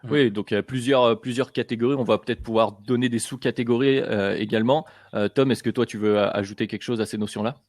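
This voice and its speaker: A man's voice: medium pitch, fairly even intonation, fast talker, few pauses, darkish tone. Guy hosting a podcast, lots of ums, dry close sound, no room echo. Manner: chatty, hesitant with many fillers. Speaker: podcast host. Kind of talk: casual podcast discussion.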